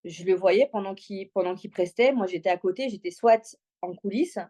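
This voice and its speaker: A woman's voice: medium pitch, moderate pace, warm tone. A talkative woman from Congo, telling personal stories informally and with slang as an interview guest. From Congo, moved to Belgium aged six. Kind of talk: casual personal storytelling in an interview.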